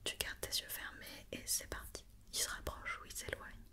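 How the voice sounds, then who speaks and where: Woman, full whisper, ASMR doctor roleplay, gentle instructions, encouraging and reassuring. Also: normal speed. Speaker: ASMR performer playing an occupational doctor examining a robot.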